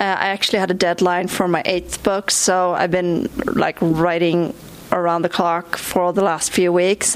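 Chatty Swedish-accented woman author. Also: mid-range voice. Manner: chatty and self-deprecating, with frequent fillers and laughs. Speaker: visiting author. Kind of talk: talk and Q&A with an audience. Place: library event. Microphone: recorded for a podcast.

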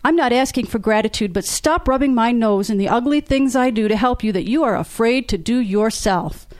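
A woman's voice: on the high side, fairly quick, a little mellow, dry recording, studio quality, natural voice. A woman reading aloud as a cool, controlled man who speaks tightly and mockingly. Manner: clipped, mocking. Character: cool, controlled man, calm but tense.

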